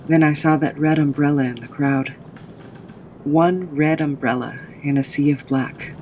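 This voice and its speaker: A man's voice: a little high, steady tone, a little slow, darkish tone, slight background noise, low-quality recording. A man playing a guilt-ridden man who recounts his tragic past in a brooding, remorseful dramatic monologue. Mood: grim, tense. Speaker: narrator of own backstory.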